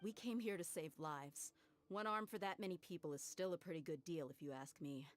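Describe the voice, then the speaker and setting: A woman's voice: lowish voice, brisk, faint music behind, lightly acted. Woman playing a daring wry adventurer. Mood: resolute. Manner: steady, wry. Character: bold young female adventurer, wry and daring.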